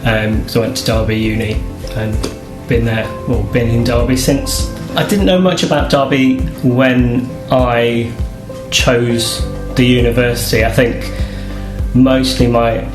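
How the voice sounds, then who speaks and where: A man talking casually in an interview, in a halting way that repeats words. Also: mid-range voice, flat delivery. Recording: echoey room. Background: guitar music.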